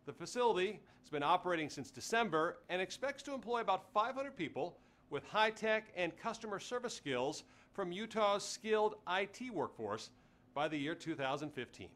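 A man's voice: high-pitched, sharp tone. A man presenting a scripted corporate news report from a studio.

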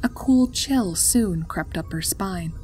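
A woman's voice: medium pitch, lively intonation, slow pace, darkish tone. A woman narrating a scripted story as its storyteller. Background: music.

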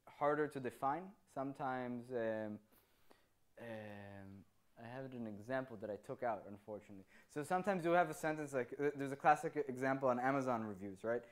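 A man's voice: mid-range voice, normal speed. Hebrew-speaking man on a handheld mic. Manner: animated, gestures with free hand, tags sentences with "right?". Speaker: conference speaker. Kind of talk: answering audience questions. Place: meeting room with audience. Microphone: handheld microphone.

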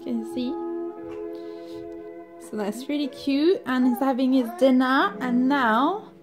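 A young mum with a high voice chats casually for a home vlog. She gushes with excitement, and music plays behind her. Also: takes it slowly. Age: young adult. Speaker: vlogger and mother. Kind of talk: casual home vlog chat, talking to camera and child.

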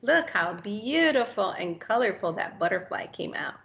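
A woman in her thirties doing an animated storybook read-aloud for children, recorded indoors. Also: medium pitch, moderate pace, gravelly, lo-fi sound, a little hiss or hum.

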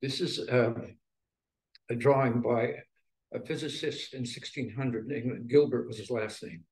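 Unhurried older man, a reflective poet. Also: medium pitch, darkish tone, low-quality recording. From Manitoba, Canada. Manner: unhurried, reflective, pausing between short phrases. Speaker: featured poet. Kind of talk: informal explanatory talk at a poetry reading.

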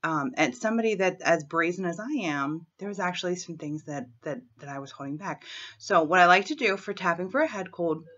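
A woman in her thirties, hoarse from a head cold, talking frankly and clearing her throat as she guides a tapping session at home on her laptop webcam. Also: fairly low pitch, animated, brisk, fairly bright tone. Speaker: tapping coach. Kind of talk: self-help vlog with guided tapping. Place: small room at home, indoors. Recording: dull lo-fi webcam sound.

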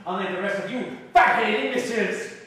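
A man's voice: high-pitched, a little fast, bright, forceful tone. An actor playing a fiery male revolutionary in disguise as a duke, ranting scornfully in theatrical declamation. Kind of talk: audio drama acting. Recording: echoey hall, voice from far off.

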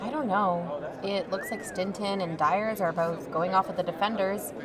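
A woman's voice: medium pitch, normal speed, a loud crowd, lightly acted. A woman playing a dry, skeptical amateur investigator in a conversational audio drama.

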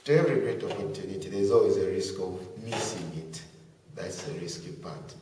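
Teenage boy from Zambia, deep voice, soft-spoken interviewee, far camera mic with roomy reverb. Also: brisk. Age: teens. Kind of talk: casual interview answers. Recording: echoey room from far off.

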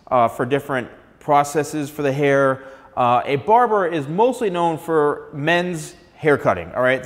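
A man, a hairstylist talking casually to camera, gesturing and saying "all right" often. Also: fairly high pitch. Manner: conversational, gestures a lot, frequent "all right". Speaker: hairstylist and channel host. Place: large studio room with piano, indoors. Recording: long reverb in a bare room.

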